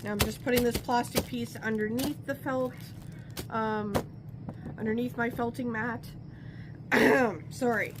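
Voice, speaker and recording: A woman's voice: a little high, fairly even intonation, measured pace, noisy background. A woman with a stuffy nose from a cold, chatting casually and rambling as she works on a craft at home. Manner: chatty, rambling, self-interrupting. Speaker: crafting vlogger. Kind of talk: casual talk while crafting.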